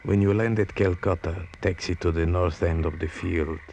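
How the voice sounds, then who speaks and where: A cold, calmly ruthless male smuggling boss. The voice is deep and gravelly, with formal, measured, sinister phrasing. It sounds like a vintage radio drama with some background noise. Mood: smooth, businesslike. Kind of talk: old-time radio drama acting. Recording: noisy vintage radio recording.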